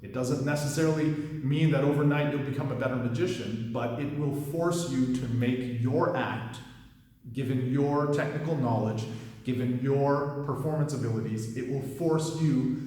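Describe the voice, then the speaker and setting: A man in his thirties talks shop as a coach, easygoing and rambling, with plenty of fillers and false starts. His voice sits at an ordinary middle pitch. It rings through a very echoey space with a long reverb tail. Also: normal speed, darkish tone. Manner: rambling, with many fillers and restarts. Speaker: magic coach and competitor. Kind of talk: casual commentary.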